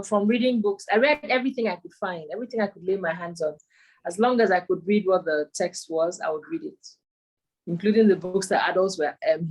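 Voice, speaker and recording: A Nigerian woman in her thirties, a poet and author, recalling her childhood over a video call, pausing to search for words. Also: lowish voice, darkish tone, poor audio. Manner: thoughtful, searching for words with frequent ums. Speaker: interviewee, performance poet and author. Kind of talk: remote interview, casual reminiscing.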